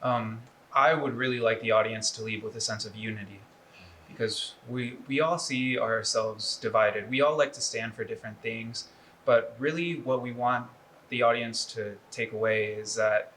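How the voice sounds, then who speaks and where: A man's voice: medium pitch, normal speed, fairly bright tone. A young man giving an earnest interview answer as a cast member. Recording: faint background room noise.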